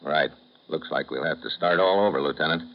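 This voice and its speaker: An actor playing a tough, wry American secret agent in a 1950s radio drama, terse and slangy in delivery. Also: male voice, extremely low pitch, a little fast. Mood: brisk, businesslike. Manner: terse, hard-boiled, slangy. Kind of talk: 1950s radio drama acting and first-person narration. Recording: muffled, noisy vintage radio recording.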